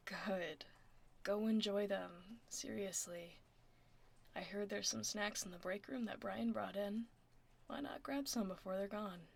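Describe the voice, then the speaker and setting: A woman playing a laid-back, caring tomboy werewolf office admin in a soft, casual, often breathy ASMR roleplay, close to the microphone. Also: mid-range voice. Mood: reassuring. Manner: casual, teasing, reassuring. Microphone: close binaural microphone.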